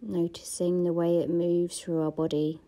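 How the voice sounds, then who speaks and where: A woman guiding a gratitude body scan meditation, speaking softly and slowly and often dropping into a half-whisper. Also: fairly low pitch, little pitch movement. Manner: slow, soft-spoken, often half-whispered. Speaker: meditation guide. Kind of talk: guided meditation.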